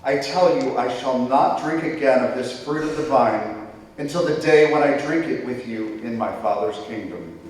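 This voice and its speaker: A man playing Jesus as a solemn teacher in a dramatic church scripture reading, speaking in a measured, declamatory way. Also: medium pitch, natural voice. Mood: solemn, foretelling. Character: Jesus, solemn male teacher foretelling his betrayal. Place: church during a service. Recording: very echoey church hall, distant microphone.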